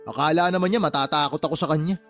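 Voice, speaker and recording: An actor playing a resentful, blunt younger brother, scolding and sarcastic in a Tagalog radio drama. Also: male voice, on the high side, quick pace, fairly bright tone, faint music behind.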